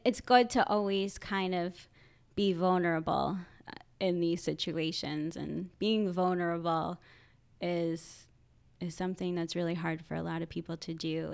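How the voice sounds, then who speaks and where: A woman who is a social worker, talking casually in a podcast interview, halting often and laughing. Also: creaky, darkish tone, smooth. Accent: Philadelphia. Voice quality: high-pitched by her own account. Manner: halting, frequent restarts and laughs.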